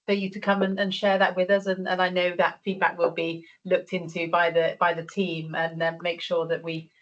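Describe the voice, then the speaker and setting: A woman's voice: lowish voice, level tone, moderate pace. A woman chairing a board meeting, thanking a speaker and inviting questions, with frequent fillers and restarts. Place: meeting room. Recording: dull lo-fi meeting audio.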